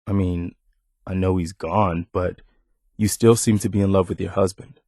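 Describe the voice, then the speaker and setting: An actor playing an earnest, loyal militia soldier companion in a video game, speaking humbly and a little hesitantly, in a naturalistic way. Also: male voice, sits low, little pitch movement, normal speed, dark tone, no echo, studio quality, natural voice. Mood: sincere, respectful.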